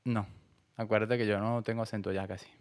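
A man's voice: on the low side, little pitch movement, brisk. A man with a Venezuelan accent co-hosting a podcast, chatting casually in heavy slang and often repeating his short phrases. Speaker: podcast co-host. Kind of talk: casual chat.

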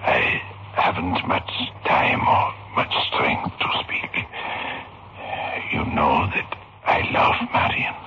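Very deep, slow, ominous palm reader. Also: male voice, steady tone, pushed and bright, full character voice. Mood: dying, confessing. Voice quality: breathy, whispery, weak. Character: ominous dying male palm reader, lovelorn rival. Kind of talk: 1960s radio suspense acting. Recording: muffled old radio with faint noise.

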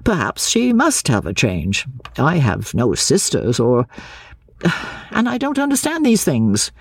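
Casting: woman narrator as puzzled, deliberate clergyman for classic audiobook, halting delivery, husky edge, recorded close and dry. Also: low voice, huge pitch swings, no room sound, heavily acted. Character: earnest, puzzled gentleman clergyman, courteous and tentative.

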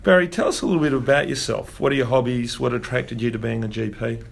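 Gravelly-voiced man hosting. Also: medium pitch, fairly even intonation, quick pace. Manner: friendly, encouraging interviewer. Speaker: podcast host, doctor. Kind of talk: podcast interview.

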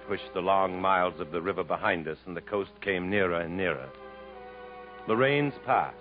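A man acting in a 1950s radio drama, playing an earnest, world-weary Englishman who speaks in a dramatic, measured way. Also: low-pitched, lightly acted. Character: earnest, world-weary Englishman narrating his jungle journey. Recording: muffled 1950s radio broadcast, faint music.

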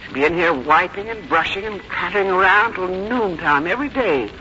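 A woman's voice: fairly low pitch, animated, a little fast, bright, forceful tone. An actress playing a stubborn, plain-spoken elderly American mother with a blunt, folksy, complaining manner in a 1950s radio drama. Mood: grumbling, stubborn. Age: older. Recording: noisy, muffled 1951 radio recording.

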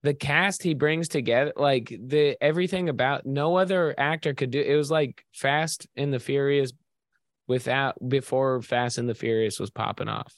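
Enthusiastic male podcaster, average pitch, dry close mic, rambling. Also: moderate pace, dry recording. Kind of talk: casual comedic chat.

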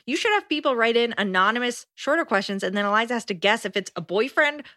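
A woman's voice: on the high side, expressive, quick pace, sharp tone, no room sound, studio quality. A woman co-hosting a casual podcast, reading listener letters aloud with frequent laughter. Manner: giggly, playful, laughs often. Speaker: podcast co-host.

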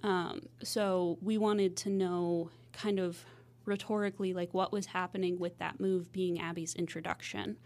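A woman's voice: on the low side, little pitch movement, measured pace, darkish tone. A woman hosting a podcast in a casual, conversational way, phrasing things a little tentatively. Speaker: podcast host.

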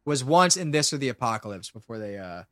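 A man's voice: medium pitch, sing-song, fast talker, a bit bright, no echo, studio quality. A man in his late twenties, a podcast host, chatting casually and rambling with lots of swearing and restarts. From Pennsylvania. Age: 20s.

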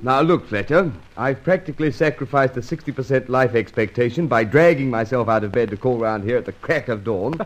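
An actor playing a grumbling, sceptical police inspector in an old radio drama, blunt and dryly witty. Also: male voice, mid-range voice, expressive, fairly quick, faint music behind, close to an everyday voice. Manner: blunt, dry, businesslike. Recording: muffled old radio transcription recording.

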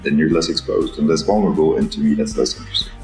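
A man from Switzerland talking casually and reflectively as a songwriter, in a halting, thoughtful way. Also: a bass voice, reverberant, over music. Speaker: singer-songwriter. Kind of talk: reflective, casual interview talk.

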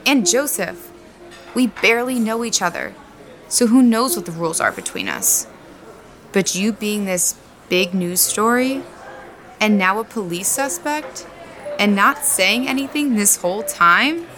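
A woman's voice: mid-range voice, very animated, unhurried, fairly bright tone, soft background music. An actress playing a frank, exasperated young woman in an audio drama, speaking bluntly to her quarrelling friends. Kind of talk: naturalistic audio drama dialogue.

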